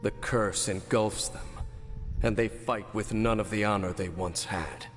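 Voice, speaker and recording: A grave, world-weary knight from an anime game, acted by a man. The low voice speaks in formal, measured phrases. It rings with long hall reverb over music. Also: steady tone, lightly acted. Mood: somber. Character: solemn ancient knight, world-weary male guide. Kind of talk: anime video game voice acting.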